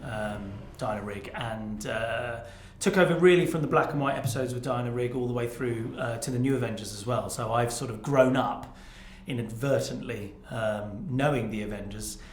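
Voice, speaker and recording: A man speaking casually in an interview as a producer, hesitant and thoughtful. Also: mid-range voice. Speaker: producer at the helm of the series. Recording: echoey room with clear background noise.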